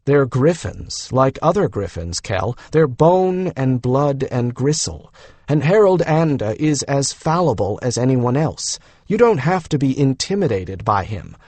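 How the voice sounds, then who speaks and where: A man's voice: medium pitch, sing-song, measured pace, darkish tone, no room sound. Man playing an easygoing young man, dry sound. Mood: lazy, reassuring. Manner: casual, encouraging. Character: easygoing young man, frank, reassuring friend to griffins. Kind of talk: audiobook character voice.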